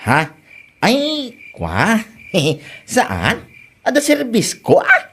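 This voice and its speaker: A woman with an Ilocano accent playing a naive, boastful young male suitor in a comedy audio drama, halting and exclamatory. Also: on the high side, wildly swooping pitch, fairly bright tone, a little hiss or hum, heavily acted. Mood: boastful, pleading.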